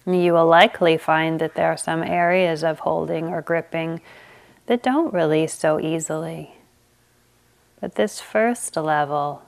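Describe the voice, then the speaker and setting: A woman meditation teacher leading a guided meditation, speaking gently with long pauses. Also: deep voice, creaky.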